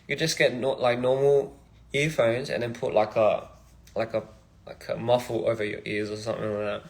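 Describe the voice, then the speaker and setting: A young man from Australia, a musician chatting casually on a phone livestream in a halting, rambling, soft-spoken way. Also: mid-range voice. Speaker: musician streaming live. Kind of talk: casual live-stream chat.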